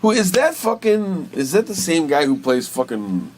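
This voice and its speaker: A man co-hosting a review show in casual banter, blunt and quick to swear. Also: on the high side, very animated, a little hiss or hum. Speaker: co-host of a film and TV review show.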